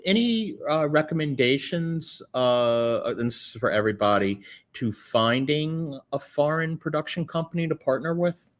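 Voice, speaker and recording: A man's voice: a little high, animated, moderate pace. A man moderating a panel in a casual, affirming way, reading out audience questions. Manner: casual, affirming, repeats "for sure".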